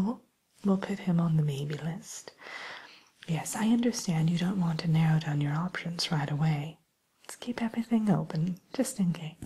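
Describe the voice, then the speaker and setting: A woman softly whispering an ASMR roleplay as a polite, hesitant matchmaker who soothes and defers to her client. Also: fairly low pitch, a little hiss or hum. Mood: hushed, reassuring. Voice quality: breathy, mostly whispered. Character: polite, accommodating female matchmaker at a dating service for villains.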